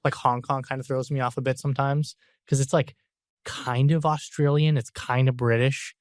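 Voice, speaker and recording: A man's voice: mid-range voice, level tone, normal speed, no echo, studio quality. A man hosting a podcast, chatting casually with his guest and rambling with lots of "like.". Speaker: podcast host.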